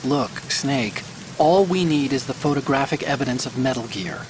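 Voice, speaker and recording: An actor playing a young, fussy male computer hacker who talks quickly and a bit nervously while guiding a soldier. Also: mid-range voice, lively intonation, noisy background, lightly acted. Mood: urging. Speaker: mission support. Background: music and rain.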